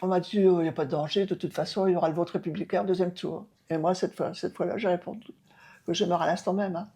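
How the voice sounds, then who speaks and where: A woman's voice: on the low side, fairly even intonation, quick pace, dark tone, slightly rough. A woman in her seventies, an outgoing mayor, answering interview questions emphatically with many hesitations and restarts. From France. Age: 70s. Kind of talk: local TV interview.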